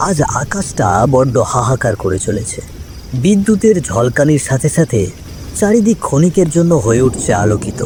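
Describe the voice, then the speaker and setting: A man narrating a scripted ghost story in a suspenseful, expressive way. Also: mid-range voice. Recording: clear rain and river water noise.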